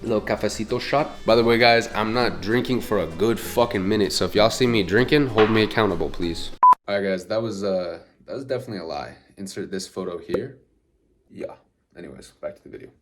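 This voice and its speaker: A man's voice: on the low side, fairly quick, loud music behind the voice. A young man with a stuffed-up nose, chatting casually and cursing as he cooks in his kitchen. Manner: casual, profane, chatty with Spanish food words. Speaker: cooking vlogger. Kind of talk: casual cooking vlog. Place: home kitchen. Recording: clear kitchen noise behind. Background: sizzling pan and cooking noises.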